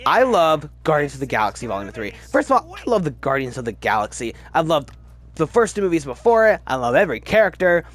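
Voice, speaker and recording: A man's voice: fairly high pitch, very animated, quick pace, fairly bright tone. A man giving casual, opinionated commentary as host of a film ranking video, with gushing fan enthusiasm and repeated words for emphasis.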